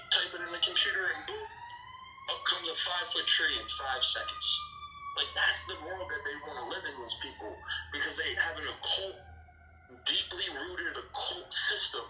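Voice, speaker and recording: A man's voice: high voice, sharp tone. A man hosting a casual live audio show, ranting animatedly and swearing as he talks. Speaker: host. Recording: echoey room.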